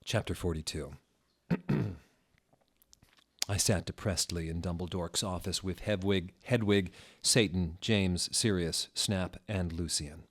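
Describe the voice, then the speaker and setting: A man narrating a comic dramatic reading of a story in a mock-serious manner, pronouncing every odd word deliberately. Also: low-pitched.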